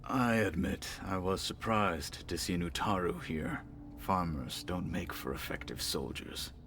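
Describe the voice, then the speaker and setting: Deep gravelly stoic warrior. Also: male voice, a bit bright, slight background noise. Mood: measured, earnest. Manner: formal, measured, solemn speech. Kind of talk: dramatic video game acting.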